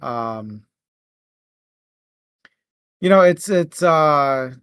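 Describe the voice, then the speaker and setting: A man co-hosting a book club show, discussing casually in a halting, conversational way. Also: fairly high pitch, lively intonation, fairly bright tone, smooth, no echo.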